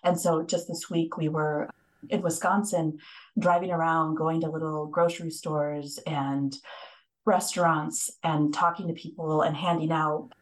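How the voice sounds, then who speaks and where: A woman with a notably low voice talks through her reporting work as an interview guest. She tells it in quick, animated bursts and restarts her phrases often. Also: rough recording. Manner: fast, animated storytelling with many restarts. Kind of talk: casual interview talk.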